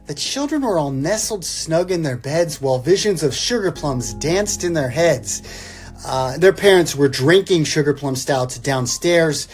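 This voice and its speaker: Higher-voiced man, wry and theatrical, over music. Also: expressive, unhurried. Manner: wry, irreverent, theatrical when reading verse. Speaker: guest reader, comedic poem reciter. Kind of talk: dramatic poem recital broken by casual joking asides. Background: instrumental Christmas music.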